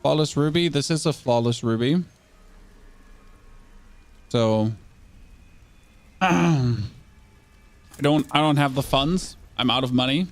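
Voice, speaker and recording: A German man chatting excitably and casually while streaming a video game, often stumbling and restarting his words. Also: mid-range voice, slow, long pauses. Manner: chatty, excitable, self-correcting. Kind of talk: casual gameplay commentary.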